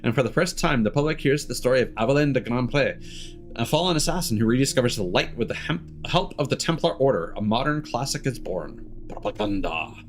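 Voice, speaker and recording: A man's voice: medium pitch, expressive, brisk. A man streaming a game, reading text aloud and adding cheeky, sardonic asides. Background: music.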